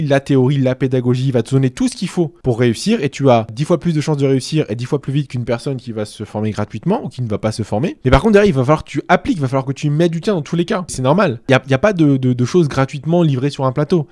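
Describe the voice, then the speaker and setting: A man's voice: mid-range voice, mellow, no room sound, clean studio sound. A man in his thirties hosting a real estate training channel, giving direct advice to camera in a persuasive way, speaking straight to the viewer and using rhetorical questions. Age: 30s.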